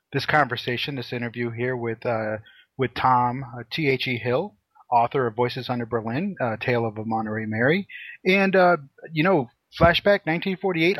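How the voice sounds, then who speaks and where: A man's voice: medium pitch, a bit bright, dry recording. A man hosting an interview, talking enthusiastically with many fillers. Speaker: host.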